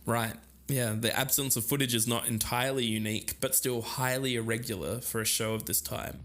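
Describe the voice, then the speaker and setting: A man's voice: mid-range voice, fairly even intonation, a little fast, a bit bright, slight background noise. A man co-hosting a podcast, moving between semi-scripted narration and conversational banter. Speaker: podcast co-host.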